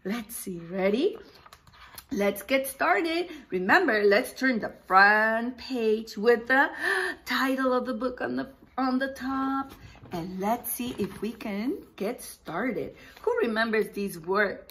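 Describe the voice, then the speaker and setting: A woman in her forties, a preschool teacher reading aloud to young children in an animated way, asking questions and pausing for answers. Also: fairly high pitch. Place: small indoor room with picture books behind.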